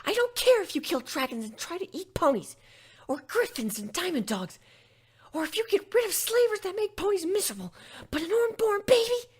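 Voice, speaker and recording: A woman's voice: extremely high pitch, big pitch swings, a little fast, pitched above the performer's own voice. A woman voicing a small female pony turned stern and commanding in a dramatic story reading. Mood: fierce, commanding. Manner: commanding, fierce. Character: stern, forceful little female pony, usually shy. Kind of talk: fan fiction dramatic reading.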